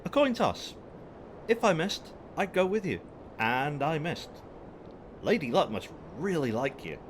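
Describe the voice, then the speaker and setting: A cocky, playful rogue from a sci-fi audio drama, acted by a man, who flirts and threatens in the same breath. His pitch swings widely and theatrically over a clear rumble of background noise. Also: sing-song, a little slow. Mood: gleeful. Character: cocky, flirtatious, trigger-happy male space rogue.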